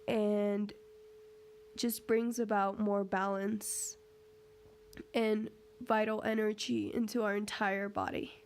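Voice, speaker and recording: A woman guides a meditation at a medium pitch. She speaks gently and in measured phrases, leaving pauses between instructions. A clearly audible humming tuning-fork tone runs behind her voice. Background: crystal tuning fork tone, hum.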